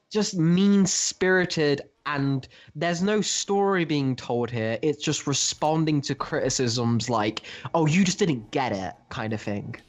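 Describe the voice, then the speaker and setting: Opinionated man discussing comics, sweary. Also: a little high. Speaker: podcast co-host. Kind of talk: casual comic book discussion.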